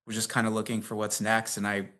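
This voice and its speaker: A man's voice: lowish voice, monotone, fast talker, sharp tone. A man in his fifties without an accent, a guest telling long anecdotes in a casual interview, full of fillers like "like" and "um". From United States. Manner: chatty, rambling anecdotes with frequent fillers and laughs. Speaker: guest, tech company CEO.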